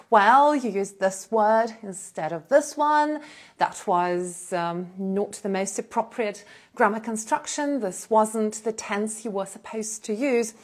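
A woman, hosting a live stream and telling her own story in a casual, animated way, with fillers and short laughs. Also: mid-range voice. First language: Russian. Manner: animated, gestures a lot while talking, with frequent fillers and short laughs. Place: indoor room in front of a plain blue backdrop. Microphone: small clip-on lapel mic.

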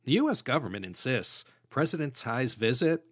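A man from the United States hosting a history podcast, reading scripted narration in a measured storytelling way with dry asides. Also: medium pitch, big pitch swings, husky, dry recording. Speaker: podcast host.

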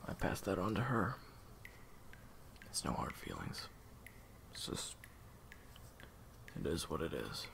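Man acting a low, gravelly, weary assassin. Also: huge pitch swings, takes it slowly, pauses often, noisy background, heavily acted. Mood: weary, resigned. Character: weary, conflicted assassin man, cold but hurting. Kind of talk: audio roleplay drama acting.

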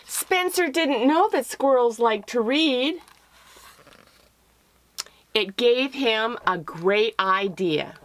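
A middle-aged woman reads a children's picture book aloud in an expressive, deliberate storytelling manner. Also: a little high, a little hiss or hum, rough recording. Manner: expressive, deliberate, with drawn-out phrases. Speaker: author reading her picture book aloud.

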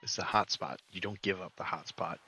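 A young man giving relaxed, joking commentary while playing a game, with a soft, soothing voice and mumbled asides. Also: low-pitched, brisk, a little hiss or hum. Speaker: gaming streamer. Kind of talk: casual gameplay commentary.

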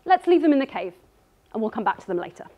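Woman giving a measured stage talk, high voice. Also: big pitch swings, dull audio, a little hiss or hum. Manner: earnest, measured delivery reading from notes. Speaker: speaker. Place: dark stage before an audience.

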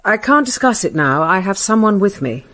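An actress playing an impatient, imperious British lawyer in a radio play, curt and exasperated. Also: female voice, medium pitch, sing-song, normal speed, a bit bright, dry recording.

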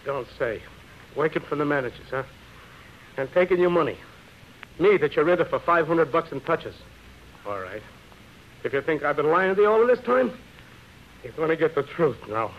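A fed-up show-business agent in an old radio play. He is blunt, streetwise and emphatic, with a highish voice that swings widely, on a dull recording. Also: animated, moderate pace, long pauses. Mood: bitter. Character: blunt, exasperated male theatrical agent, worn out and scathing. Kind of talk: 1930s radio drama acting. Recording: muffled, noisy vintage radio recording.

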